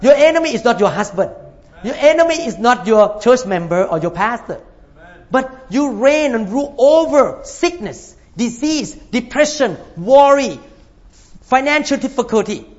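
A man's voice: very high-pitched, expressive, measured pace. A man, from Thailand, preaching a sermon as a pastor, emphatic and repeating his points while calling for amens from the congregation. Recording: dull lo-fi recording.

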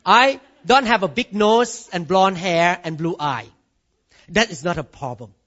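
A man's voice: sits high, a little slow, fairly bright tone, muffled recording. A man from Thailand with a Thai accent, preaching a sermon with emphatic swings of voice and calls of amen. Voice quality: non-native grammar. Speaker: pastor.

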